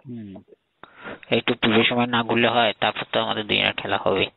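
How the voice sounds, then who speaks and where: A man's voice: on the low side, level tone, brisk, creaky, a little hiss or hum. A man with a Bengali accent chatting casually and intimately on a phone call, asking questions in a coaxing way. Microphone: phone line. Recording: tinny narrowband phone call recording.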